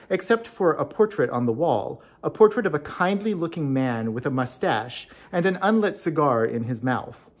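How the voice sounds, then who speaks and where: Guy doing a storybook read-aloud, introducing it and narrating. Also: a little high. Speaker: club officer introducing and reading a story.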